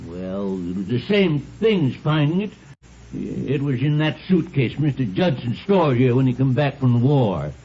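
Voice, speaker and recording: Shifty, whining thief, husky old-radio sound. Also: male voice, mid-range voice, big pitch swings, normal speed, mellow. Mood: defensive, agitated. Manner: folksy ungrammatical speech, whiny and defensive. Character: shifty, resentful, uneducated thief and anonymous caller. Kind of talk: 1950s radio drama acting. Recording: dull vintage radio recording.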